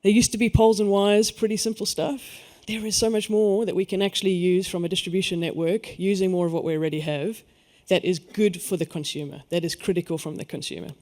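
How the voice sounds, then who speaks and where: A man's voice: sits high, steady tone, fast, hardly any pauses, darkish tone. A man with a South African accent speaking on a conference panel, stressing key words and then letting his voice fall away. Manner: emphatic, lifts his voice on key points then trails off. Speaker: energy industry panelist.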